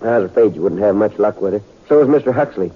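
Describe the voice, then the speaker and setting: Casting: folksy, scheming frontier physician for radio western, husky mid-pitched voice, thin vintage broadcast sound. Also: male voice, lively intonation, fast talker. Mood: soothing. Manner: folksy, rambling, self-satisfied. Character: genial, scheming small-town doctor in the old west. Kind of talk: 1950s radio drama acting. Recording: muffled 1950s radio recording.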